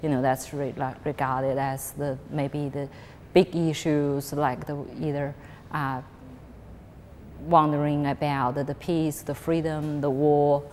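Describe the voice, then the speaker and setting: Woman, very low and slow, halting artist's explanation, echoey gallery with soft noise. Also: flat delivery. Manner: halting, searching for words, many restarts. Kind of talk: explaining her artwork in an interview. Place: gallery space. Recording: long reverb with faint background noise.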